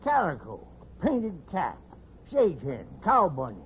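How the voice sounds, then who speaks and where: An actor playing a jovial, folksy old frontier prospector with a gravelly voice and American Western accent, in radio western style. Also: male voice, high-pitched, huge pitch swings, takes it slowly, exaggerated voice. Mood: jovial, teasing. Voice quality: creaky, gravelly. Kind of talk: 1950s radio western acting. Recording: muffled, noisy old radio recording.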